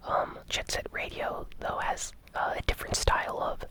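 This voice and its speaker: Whispering ASMR gaming news host. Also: moderate pace. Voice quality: breathy unvoiced whisper.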